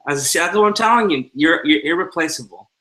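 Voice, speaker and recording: Bright-voiced man co-hosting a casual live stream. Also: on the high side, animated, fairly quick, rough recording. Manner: loose, joking, rambling. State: tired. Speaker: co-host. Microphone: headset.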